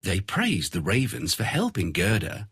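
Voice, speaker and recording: Husky low-voiced male narrator, expressive, for a fairy-tale reading. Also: measured pace, no echo, studio quality. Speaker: storyteller.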